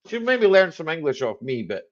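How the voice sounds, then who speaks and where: A Highland Scottish man with a fairly high voice chats on a livestream, and his pitch jumps around a lot as he jokes and laughs. He peppers his talk with "aye" and "eh". The stream audio sounds dull and lo-fi. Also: very animated, normal speed. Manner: jokey, laughs often, lots of "aye" and "eh". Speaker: livestream host. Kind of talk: casual live chat.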